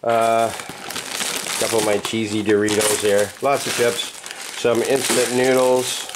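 A man in his fifties, chatting casually to camera from his apartment, relaxed and rambling with plenty of "uh" fillers. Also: medium pitch, a little slow, noisy background. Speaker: vlogger. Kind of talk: casual vlog chat. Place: apartment at home, indoors. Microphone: handheld camera mic, close.

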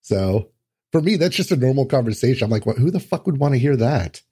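A man's voice: medium pitch, expressive, fairly quick, mellow, breathy edge. A man speaking as a podcast guest in offhand, casual chat with some swearing.

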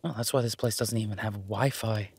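Husky, low-voiced hesitant boyfriend played by a man. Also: fairly even intonation, brisk. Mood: wry, relaxed. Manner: hesitant, soft-spoken, gently teasing. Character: gentlemanly, shy romantic werewolf boyfriend, adult man. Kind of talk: intimate romantic audio roleplay.

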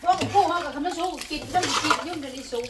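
A very high-pitched female voice with an Isan accent chats casually. She sounds away from the microphone, amid clearly audible noise. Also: racing, reverberant. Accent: Isan (northeastern Thai). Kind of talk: casual chat. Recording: distant from mic, noisy clatter and water.

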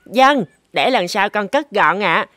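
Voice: mid-range voice, slow, no echo. Playful little elephant boy. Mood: gleeful. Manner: childlike, eager, expressive. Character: playful mischievous little boy elephant who dodges chores. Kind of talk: children's cartoon dubbing. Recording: music bed behind the voice.

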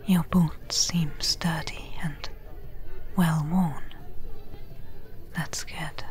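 A woman playing a practical, caring desert adventurer in an ASMR roleplay, giving gentle advice in a breathy whisper. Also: low voice, fairly even intonation. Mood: gentle, advising. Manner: gentle, unhurried, advising.

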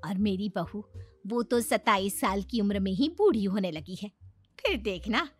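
A woman playing a nosy, excitable middle-aged neighbour in a moral-story cartoon, gossipy and full of exclamations. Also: mid-range voice, moderate pace, dry recording, soft background music, close to an everyday voice. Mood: eager.

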